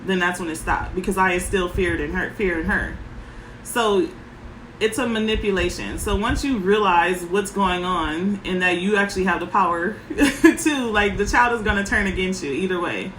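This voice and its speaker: A woman parenting coach with a soft voice, giving frank advice in short, emphatic sentences. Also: mid-range voice, normal speed, echoey room, a little hiss or hum, low-quality recording. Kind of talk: casual advice talk.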